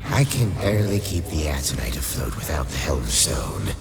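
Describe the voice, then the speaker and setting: Very deep, commanding undead lich. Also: male voice, big pitch swings, moderate pace, vocal fry, exaggerated voice. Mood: grave, measured. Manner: terse, stern, imperious. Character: commanding undead lich speaking into a vampire's mind. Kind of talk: dark fantasy radio play acting. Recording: music behind the voice.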